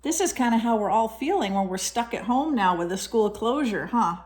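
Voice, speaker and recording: A woman with a slightly high voice reads a storybook to young children. Her delivery is expressive and animated. She breaks from the text to explain and to ask her listeners simple questions. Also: normal speed. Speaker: storyteller, teacher reading to children. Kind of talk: expressive picture-book read-aloud with questions to young listeners.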